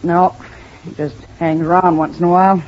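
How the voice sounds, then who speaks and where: A woman's voice: fairly low pitch, steady tone, normal speed, natural voice. Actress as cagey radio-drama hotel woman. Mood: guarded, reluctant. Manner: evasive, offhand. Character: cagey, guarded woman running a seedy hotel. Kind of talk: old-time radio detective drama acting. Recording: noisy, dull old radio recording.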